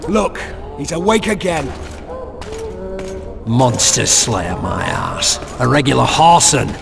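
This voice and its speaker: An actor playing a crude, quarrelsome, sneering dungeon guard in a video game, with a gravelly voice. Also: male voice, medium pitch, very animated, slow, exaggerated voice. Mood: sneering contempt. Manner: sneering, coarse. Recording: long echo, music behind. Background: music.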